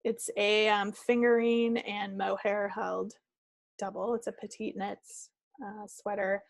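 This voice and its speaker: A woman's voice: mid-range voice, flat delivery, slow pace, creaky, no room sound. A woman co-hosting a knitting podcast, chatting in a casual, rambling, unscripted way. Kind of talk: casual, unscripted craft chat.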